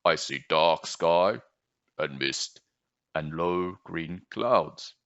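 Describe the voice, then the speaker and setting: Storybook frog played by a man: very low, croaky, contented homebody, curious, dull roomy recording. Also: very slow, a bit bright, full character voice. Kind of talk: storybook character voice.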